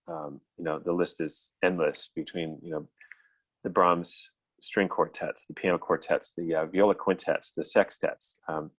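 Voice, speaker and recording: A middle-aged American man on a video call, giving thoughtful, halting interview answers as a guest musician. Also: low-pitched, dry recording. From United States. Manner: reflective, halting. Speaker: guest violist. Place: indoors at home, on a video call.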